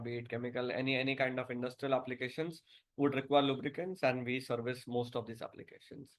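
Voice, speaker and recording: Indian-accented guy doing an investor presentation, pretty flat intonation, kinda halting, repeats words. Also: medium pitch. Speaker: company director.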